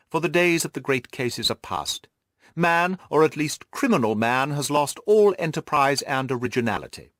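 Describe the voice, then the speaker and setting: A man's voice: medium pitch, a bit bright, no room sound, clean studio sound, voice pushed up. A man voicing a haughty, disputatious detective who lectures his friend pompously in an audiobook. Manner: pompous, didactic. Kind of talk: audiobook character dialogue.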